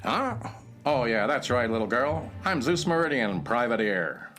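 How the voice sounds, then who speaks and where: A man's voice: medium pitch, big pitch swings, a little slow, a bit bright, faint music behind. A man playing a self-doubting private eye and would-be novelist in a children's radio drama, with a melodramatic mock-noir delivery. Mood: helpful, upbeat. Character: male hard-boiled private-eye spoof, self-doubting aspiring novelist.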